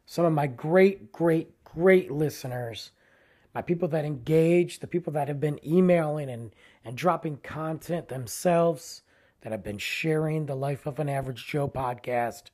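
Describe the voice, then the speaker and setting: A man hosting his own podcast in a casual, rambling way, tired and losing his voice, often trailing low at the ends of phrases. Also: a little slow, darkish tone. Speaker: podcast host. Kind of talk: casual solo podcast intro.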